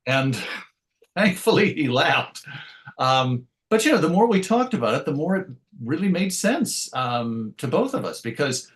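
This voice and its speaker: A middle-aged man talking reflectively in an interview, articulate and measured, with a modest, self-deprecating way of putting things. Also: a little high, sing-song. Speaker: interview guest, writer and radio show host.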